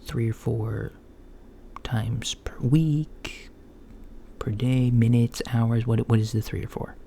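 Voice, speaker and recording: A man doing a soft-spoken, partly whispered ASMR roleplay as a calm, reassuring doctor, murmuring hesitantly with long pauses. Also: mid-range voice, creaky. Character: calm reassuring male alien doctor at a checkup. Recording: lo-fi with faint background noise.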